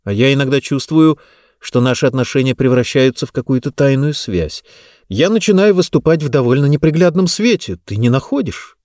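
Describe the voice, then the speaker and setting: An earnest young suitor in an audiobook, acted by a male narrator. He speaks frankly and a little uneasily in a mid-pitched, animated voice, with a dry, close sound. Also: fairly quick, no echo, lightly acted. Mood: uneasy.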